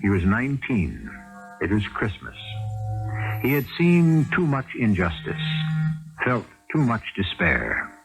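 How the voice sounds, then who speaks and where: A man hosting a 1970s radio drama, reading dramatic scripted narration in a solemn, measured way. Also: on the low side, wildly swooping pitch, gravelly, low-quality recording. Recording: 1970s radio broadcast with music.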